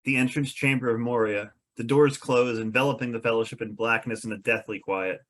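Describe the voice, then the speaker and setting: Mid-pitched man narrating stage directions. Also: fairly even intonation, brisk, a bit bright. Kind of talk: scripted narration at a table read.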